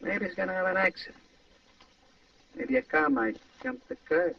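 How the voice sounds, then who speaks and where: A man's voice: sits high, moderate pace, vocal fry, slight background noise. An actor playing a slangy, scheming small-time American gangster and ladies' man in an old-time radio crime drama, wheedling in tough street talk. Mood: coaxing, menacing. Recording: muffled old radio recording, distant mic.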